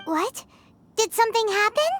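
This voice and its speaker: An extremely high, childlike fairy companion for a video game. She is excitable and nosy, with swooping intonation and an unhurried pace. Music plays behind her. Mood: surprised, concerned. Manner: speaks of self in third person, exclamatory.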